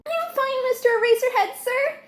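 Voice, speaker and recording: Lady doing a timid lil boy, squeaky high voice, eager n polite, stuttery, fanfic audiobook. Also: moderate pace. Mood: shy, grateful. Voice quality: high, small voice. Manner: stammering.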